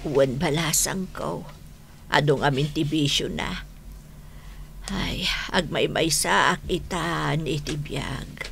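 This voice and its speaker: A woman playing a poor, lonely elderly mother, humble and gently firm, in a radio drama. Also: low-pitched, takes it slowly, vocal fry, faint music behind, full character voice. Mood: sorrowful. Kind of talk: radio drama acting in Ilocano.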